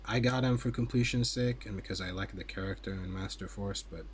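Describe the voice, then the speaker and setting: Guy with a lowish voice doing a casual toy review, kinda rambly and unsure, noisy background. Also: a little fast. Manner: rambling, hesitant, conversational. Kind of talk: casual hands-on product review. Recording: clear steady background noise.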